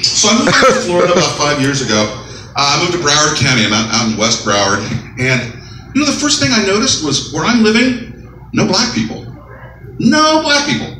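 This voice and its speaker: A deep-voiced stand-up comedian in his fifties works a small coffeehouse crowd with wry, deadpan punchlines. His delivery leaps around in pitch, low rumbling lines then animated bursts. The recording is roomy and picked up from across the room, with audible room noise behind him. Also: brisk, recorded from a distance. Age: 50s. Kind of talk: stand-up set. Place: small coffeehouse, live audience.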